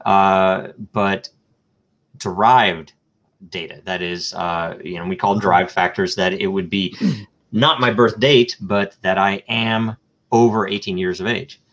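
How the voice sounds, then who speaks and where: A man with a very low voice explains a technical idea as an interview guest. He thinks aloud, often filling pauses with ums and uhs and restarting his sentences. He works through concrete examples one step at a time. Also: steady tone, fairly bright tone. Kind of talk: live online interview answers.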